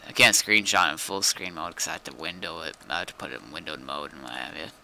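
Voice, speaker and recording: A man with a deep, sharply bright voice talks through his game as he plays. He sounds laid-back and thinks aloud, with faint noise behind him. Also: fast talker, creaky. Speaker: game streamer. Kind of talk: casual gaming chat.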